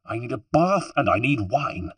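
A man's voice: mid-range voice, very animated, moderate pace, breathy edge, dry recording. A man voicing a spirited, imperious younger noblewoman in a storybook, scolding and commanding. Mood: commanding, contemptuous.

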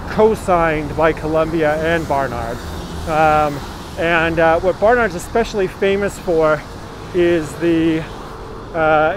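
A man in his thirties chatting his way through a walking tour, greeting viewers by name as he walks outdoors and films on a phone held at arm's length. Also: on the high side. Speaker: tour guide. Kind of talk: live-streamed walking tour. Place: outdoors on a city street. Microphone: phone held at arm's length while walking. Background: street traffic.

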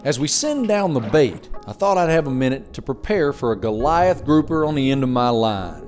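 Male host voice-over, joking at his own expense, lively delivery, music bed. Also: mid-range voice, moderate pace. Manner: playful, self-mocking. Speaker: host narrator. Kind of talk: voice-over narration.

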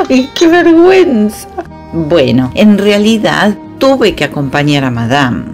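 A woman's voice: medium pitch, big pitch swings, takes it slowly, darkish tone, faint music behind. A woman playing a playful, deferential house maid in a radio comedy, speaking, coy and giggly. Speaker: voice actor.

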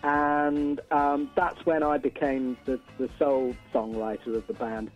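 A man's voice: mid-range voice, level tone, unhurried, lo-fi sound, faint music behind. A man chatting casually as an interview guest, a musician who often repeats words as he feels his way. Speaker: interview guest, band singer and songwriter. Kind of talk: casual chat.

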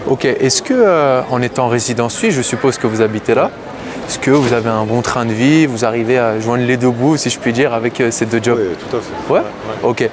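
A man's voice: medium pitch, fairly quick, a crowd in the background. A middle-aged man from Switzerland answering street interview questions in a laid-back way, with short replies that trail off. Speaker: musician and fitness coach, interviewee. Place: city street outdoors. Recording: loud street noise.

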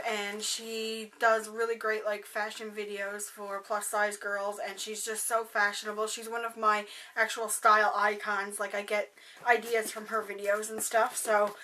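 Hoarse young woman rambling on her bedroom webcam, bright and a bit far off. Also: fairly high pitch, a little hiss or hum. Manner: chatty and rambling, many fillers. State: hoarse, voice going. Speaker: beauty vlogger. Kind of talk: casual haul chat to camera. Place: bedroom, indoors. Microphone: webcam at desk distance.

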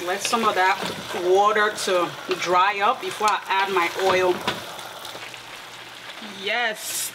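A woman of middling pitch walks through a recipe in her home kitchen, explaining each step in an easy, relaxed way. Food sizzles and frying sounds come through clearly behind her voice. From Liberia. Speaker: cooking vlogger. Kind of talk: casual cooking demonstration. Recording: clear kitchen cooking noise. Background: frying and sizzling food, stirring.

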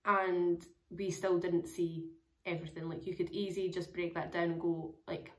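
A young woman talks casually to a camera in a small room at home. Her voice sits a little low for a woman and sounds hoarse and crackly. She rambles along with plenty of "like" and "um.". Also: flat delivery, normal speed. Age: young adult. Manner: chatty, rambling, many fillers. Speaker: travel vlogger.

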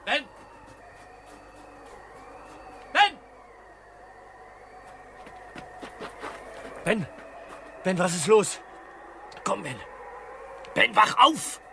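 Energetic detective amid car noise. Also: male voice, sits high, slow pace, exaggerated voice. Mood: alarmed. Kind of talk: German crime radio play acting. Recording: clear car noise in the background.

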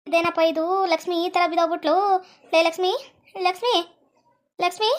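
A woman playing a shrill, scolding old village mother-in-law. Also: steady tone, a little fast. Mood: wailing. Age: older. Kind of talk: comedy skit acting.

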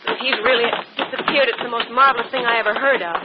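An actress playing a pert, excitable young assistant, outspoken and expressive, in a 1940s radio mystery. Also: female voice, on the high side, fast, very noisy, natural voice. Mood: amazed. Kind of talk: 1940s radio drama acting. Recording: muffled old radio broadcast.

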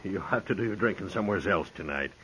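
An actor playing a nervous, stammering saloon keeper in a 1950s radio drama. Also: male voice, sits low, animated, fast talker, sharp tone, breathy edge, heavily acted. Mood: flustered. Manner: flustered, stammering. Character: nervous saloon keeper, middle-aged man. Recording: dull old radio recording.

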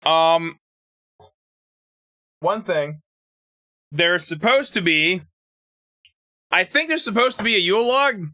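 A male streamer talks to his viewers in a casual live chat, his voice fairly high and bright, swinging widely in pitch. He laughs easily and trips over words when he reads aloud. The sound is dry and close, with no room echo. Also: slow pace, pauses often. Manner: animated, laughs often, stumbles when reading aloud.

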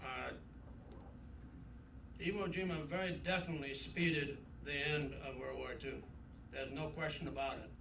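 A man's voice: a little high, fairly even intonation, moderate pace, gravelly. An older man giving a rambling, emphatic war memoir talk at a club meeting, with frequent fillers. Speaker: guest speaker. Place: club meeting room, indoors. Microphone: podium mic, camera from across room. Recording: roomy, distant camcorder audio with noise.

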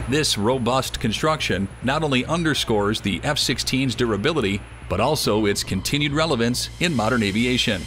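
A man giving scripted documentary narration in an even, measured delivery. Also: medium pitch, background noise. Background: music.

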